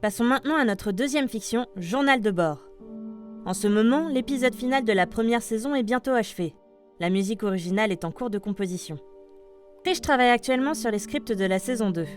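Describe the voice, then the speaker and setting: A woman's voice: a little high, expressive, brisk. A woman reading scripted podcast news as presenter, addressing listeners like an announcer. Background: light music.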